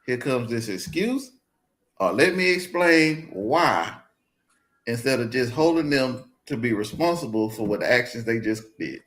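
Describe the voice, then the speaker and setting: A man of forty-two shares his views on the panel in a calm, observant tone. His voice sits at an ordinary middle pitch and keeps an even delivery throughout. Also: a little slow. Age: 40s. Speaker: panel guest. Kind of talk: roundtable discussion.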